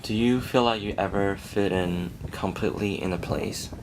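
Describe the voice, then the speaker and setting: A man's voice: lowish voice, normal speed, noisy background. A man asking questions as a casual interviewer.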